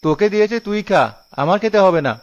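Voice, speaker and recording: A man's voice: high-pitched, fast talker, lightly acted. A man voicing a stingy, scolding village husband in a cartoon, dramatic and full of complaint. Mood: grumbling, suspicious. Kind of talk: cartoon dialogue. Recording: dull lo-fi audio with music behind.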